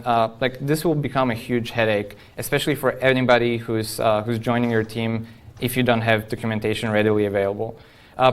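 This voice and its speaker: A man speaks as an engineer presenting at a tech conference. His voice is clean and resonant at an average male pitch. He talks informally and with firm opinions, putting his points straight to the listeners. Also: clean tone. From Poland. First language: Polish. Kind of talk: informal technical conference talk.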